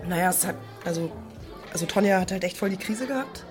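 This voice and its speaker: Actress as a halting young woman over loud music. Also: fairly low pitch, lightly acted. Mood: uneasy, defensive. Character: emotional young woman, devoted to girlfriend, evasive with friend. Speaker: actor in audio drama. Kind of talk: naturalistic dialogue in a fiction podcast.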